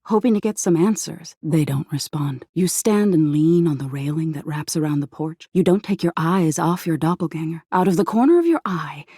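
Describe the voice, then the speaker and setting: A woman playing an eerie, softly menacing embodiment of existential dread in a video game, narrating slowly in a hushed, breathy voice that slips into whispers. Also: low voice, animated, no echo, clean studio sound, heavily acted. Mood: ominous, hushed. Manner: slow hushed storytelling, lapsing into whispers.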